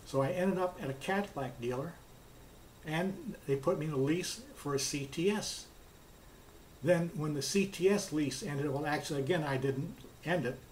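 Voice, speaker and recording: A man's voice: on the high side, moderate pace, a little hiss or hum, low-quality recording. A man casually telling a personal anecdote in a plainspoken way, recounting back-and-forth dialogue as he goes. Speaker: car customer telling his experience.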